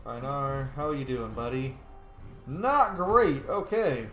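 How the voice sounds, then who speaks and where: A man giving casual let's-play commentary, excitable, swearing freely and yelling during fights. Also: mid-range voice, slow pace. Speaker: gamer playing a roleplay series. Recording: echoey room with game music behind. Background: game music and combat sounds.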